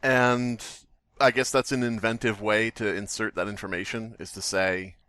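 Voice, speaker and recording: A man's voice: on the low side, flat delivery, normal speed, sharp tone, smooth, dry recording, clean studio sound. A man co-hosting a podcast, reading aloud and riffing sarcastically in casual comic commentary. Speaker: podcast host.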